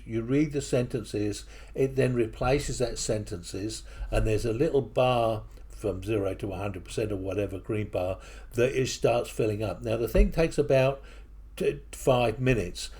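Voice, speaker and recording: A man with a mixed English and New Zealand accent, walking through software on his computer in a casual, hesitant way with many fillers. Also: medium pitch, breathy edge. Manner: hesitant, with frequent fillers and restarts. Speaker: software tutorial presenter. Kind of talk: casual screen-recording walkthrough. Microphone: desktop microphone at a computer.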